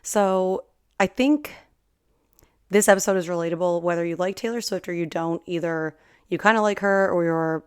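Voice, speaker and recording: Slightly hoarse woman podcast host, chatty. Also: lowish voice, normal speed, no room sound, clean studio sound. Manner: chatty and rambling, friendly big-sister tone. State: slightly hoarse from singing at a concert. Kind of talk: casual solo podcast chat.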